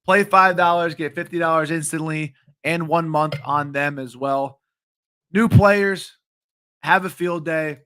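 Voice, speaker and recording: A man in his late twenties hosting a sports betting stream, talking casually with many ums, his voice a bit hoarse. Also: on the high side, steady tone, measured pace, fairly bright tone, no echo. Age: late 20s. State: slightly hoarse voice. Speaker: sports betting show host. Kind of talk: live-streamed betting picks talk.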